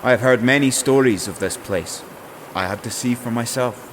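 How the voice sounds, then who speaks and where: A man's voice: medium pitch, moderate pace, a little hiss or hum, lightly acted. A man playing a bold, courtly would-be king in a fantasy audio drama, with gallant, flowery, formal speech. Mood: proud.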